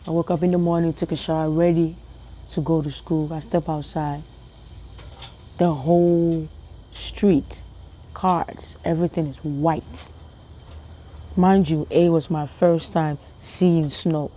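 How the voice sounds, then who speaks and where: A young woman from Ghana telling a funny personal story to her viewers in a casual, animated way, often repeating herself and laughing. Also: low voice, slow pace, long pauses. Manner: animated, repetitive, laughing, talks to viewers as family. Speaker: vlogger.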